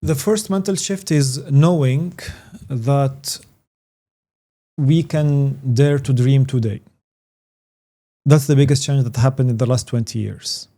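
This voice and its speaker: Earnest Tunisian man with a warm, dark voice. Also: mid-range voice, takes it slowly. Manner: earnest, emphatic, repeats key words. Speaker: guest, branding consultant. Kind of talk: conversational interview answers.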